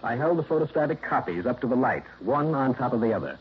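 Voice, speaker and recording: A hard-boiled American detective played by a male actor from a vintage radio drama. He is confident and needling, with clipped, wry lines and animated pitch movement. The recording is a dulled old broadcast. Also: fast, a little hiss or hum, natural voice. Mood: accusing, insistent. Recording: muffled vintage radio recording, faint music.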